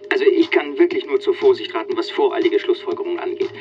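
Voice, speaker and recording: An actor playing a self-important protest leader giving an emphatic, rhetorical radio interview in a crime drama. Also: male voice, mid-range voice, huge pitch swings, quick pace. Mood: guarded. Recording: dull radio sound with music.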